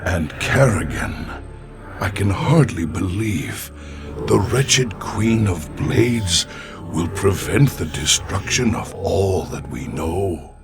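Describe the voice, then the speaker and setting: An actor playing an old, wise alien mystic warrior in a video game cinematic, grave, sorrowful and formal. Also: male voice, low voice, expressive, creaky, full character voice. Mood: urgent warning. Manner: formal, portentous, measured. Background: cinematic music.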